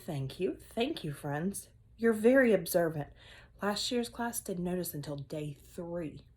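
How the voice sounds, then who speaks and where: A woman's voice: fairly low pitch, animated, measured pace, darkish tone. A woman voicing a cheerful, playful woman schoolteacher who coaxes her class with upbeat questions. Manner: upbeat, coaxing teacher questions.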